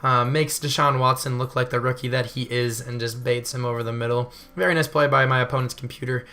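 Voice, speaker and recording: A young man with a voice still hoarse from illness, giving casual, rambling video game commentary and often correcting himself. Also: mid-range voice, steady tone, brisk, pushed and bright, soft background music. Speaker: gaming channel host.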